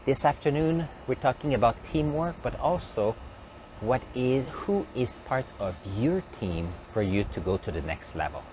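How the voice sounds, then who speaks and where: A man's voice: medium pitch, animated, moderate pace, lo-fi sound, noisy background. Man thinking aloud. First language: French. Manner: reflective, thinking aloud with fillers and restarts. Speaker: host. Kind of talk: live stream talk.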